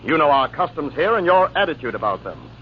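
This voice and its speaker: An actor playing an exasperated, authoritative army major in 1940s radio drama style. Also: male voice, a little high, sing-song, a little fast. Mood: stern, resigned. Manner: authoritative, impatient. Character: brisk, exasperated army major arbitrating a quarrel. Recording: muffled, noisy old radio broadcast.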